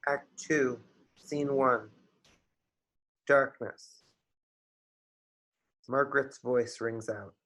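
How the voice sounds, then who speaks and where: A man's voice: mid-range voice, steady tone, very slow, pauses often, a bit bright, rough recording. A man reading stage directions as scripted narration for a play. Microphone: video call.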